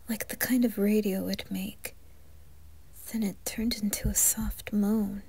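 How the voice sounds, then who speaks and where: A woman narrating a scripted horror story in the first person, theatrical and deliberate, turning breathy when hushed. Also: medium pitch. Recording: music behind the voice. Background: music.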